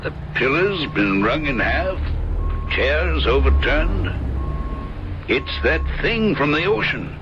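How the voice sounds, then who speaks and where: A man's voice: on the high side, big pitch swings, takes it slowly, music in the background. A man acting a grave, superstitious innkeeper in an old-time radio mystery, blunt and ominous. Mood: alarmed, grim. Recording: muffled old radio broadcast under loud engine noise.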